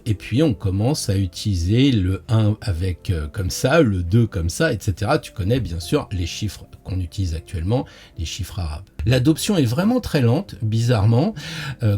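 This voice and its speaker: A man's voice: fairly low pitch, dark tone, breathy edge. A man hosting a tarot channel, explaining in a casual, playful way and talking straight to the viewer, with frequent hesitations. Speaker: tarot teacher and channel host.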